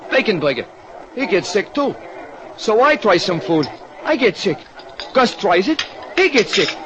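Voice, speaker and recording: A man voicing a gloomy, excitable working-class American diner owner in 1940s radio style, plaintive and slangy. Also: extremely high pitch, pitched above the performer's own voice. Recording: dull, noisy old radio recording.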